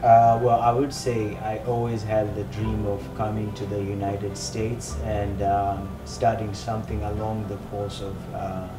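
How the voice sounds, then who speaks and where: A man's voice: lowish voice, completely flat delivery, unhurried, few pauses, roomy sound, far from the mic, over music. A young man from Zimbabwe, a college junior, answering interview questions thoughtfully. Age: young adult. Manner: thoughtful, starts with uh. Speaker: student interviewee.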